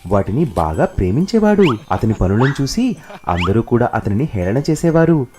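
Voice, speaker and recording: Animated storyteller narrating a children's tale. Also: mid-range voice, moderate pace, over music.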